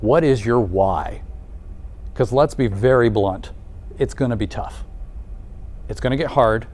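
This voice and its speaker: A man giving a live welcome presentation at a nursing college, conversational and energetic, asking the audience many rhetorical questions. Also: mid-range voice, unhurried.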